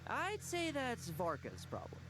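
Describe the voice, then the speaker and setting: A woman voicing a sly, playful young knight in an anime game, with a teasing wit and deadpan jokes. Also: a little high, unhurried. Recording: game audio with clear background noise.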